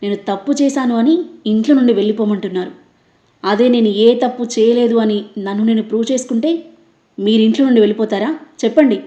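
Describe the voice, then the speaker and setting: A woman's voice: mid-range voice, steady tone, normal speed, pauses often, mellow, reverberant, lo-fi sound, lightly acted. A woman voicing a defiant young daughter-in-law. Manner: firm, challenging, demanding proof.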